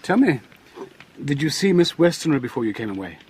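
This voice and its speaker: A man playing a melancholy, earnest doctor in a gothic audio drama, measured and confiding. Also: a little high, big pitch swings, darkish tone, breathy edge. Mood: resigned, friendly. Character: melancholy, earnest male asylum doctor, a jilted suitor.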